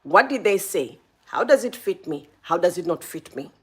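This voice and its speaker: A woman's voice: on the low side, moderate pace, husky. A woman from Zambia hosting a live stream, giving motivational advice and speaking straight to her viewers. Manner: animated, speaks straight to viewers, greets chat by name.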